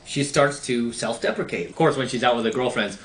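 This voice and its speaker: Man, lecturing dating commentary, sarcastic, ordinary pitch, echoey room. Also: a little fast, a little hiss or hum. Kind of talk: opinionated advice monologue.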